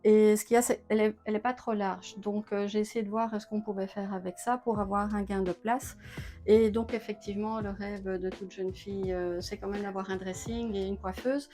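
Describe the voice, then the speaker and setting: A woman's voice: mid-range voice, little pitch movement, moderate pace, mellow. Hesitant woman with faint music behind. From Belgium. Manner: hesitant, many filler sounds. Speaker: homeowner giving a home tour. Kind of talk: casual interview.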